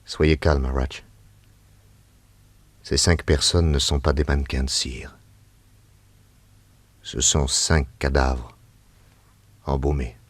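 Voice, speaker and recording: An actor playing a calm, shrewd middle-aged detective in a radio drama, speaking in a measured, grave and deliberate way. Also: male voice, rumbling low, exaggerated voice. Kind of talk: 1940s radio acting.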